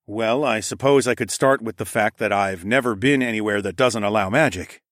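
A man's voice: lowish voice, moderate pace, fairly bright tone, dry recording, clean studio sound, lightly acted. A man voicing a careful, self-assured nobleman and protective husband in an audiobook. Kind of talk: audiobook drama dialogue.

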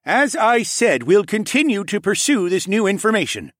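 A man voicing a high-pitched, placating king. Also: sing-song, moderate pace, no room sound, studio quality. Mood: placating, firm.

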